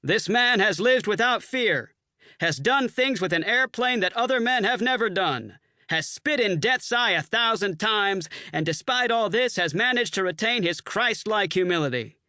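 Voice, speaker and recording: A man voicing a brash, boastful, hard-drinking Marine colonel with a loud, swaggering delivery. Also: high-pitched, animated, normal speed, dry recording, studio quality, voice pushed up. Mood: toasting.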